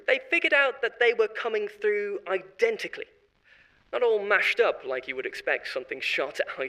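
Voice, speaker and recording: Glib, chuckling corporate insider. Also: male voice, a little high, sharp tone, poor audio. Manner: chuckling, glib, self-justifying. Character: flippant male corporate insider confessing dark secrets. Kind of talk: horror audio drama monologue.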